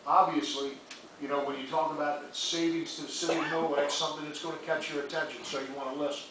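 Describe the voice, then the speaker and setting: A man serving as mayor gives measured, explanatory remarks at a public meeting. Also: a little high, little pitch movement. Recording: very echoey room, distant mic, noisy.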